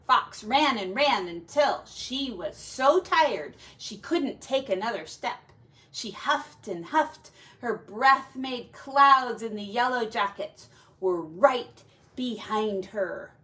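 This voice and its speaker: Slow, animated woman reading a children's storybook. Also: fairly high pitch. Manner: dramatic, deliberate, with quiet asides to young listeners. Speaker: teacher reading a storybook aloud. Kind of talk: expressive read-aloud of a children's folk tale.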